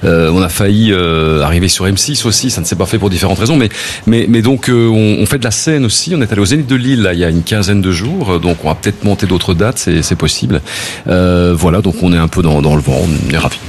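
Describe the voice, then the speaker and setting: A man's voice: low voice, quick pace. Chatty male interview guest. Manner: chatty, many fillers, explains at length. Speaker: TV comedy show producer. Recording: faint background noise.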